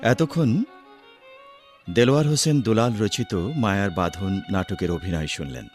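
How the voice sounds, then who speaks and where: A man's voice: lowish voice, normal speed, darkish tone, soft background music. A man reading scripted credits as a radio drama announcer.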